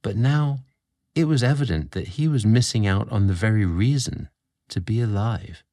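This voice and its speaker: A man narrating a scripted romantic story in a measured, expressive storybook manner. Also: lowish voice.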